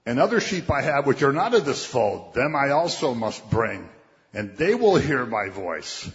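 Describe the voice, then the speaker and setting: A man's voice: medium pitch, sing-song, a little slow. A man preaching a sermon in a conversational way, quoting scripture and acting out what people would say. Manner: conversational preaching, quotes scripture, acts out voices. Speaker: pastor. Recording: dull, muffled sound with long hall reverb.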